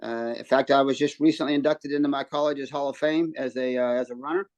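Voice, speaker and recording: A man in his seventies from West Virginia, a guest telling his story in a relaxed way from a hotel room. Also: medium pitch, fast talker, no echo. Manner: relaxed storytelling with many ums and restarts. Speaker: guest, former runner and coach. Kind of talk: casual interview chat.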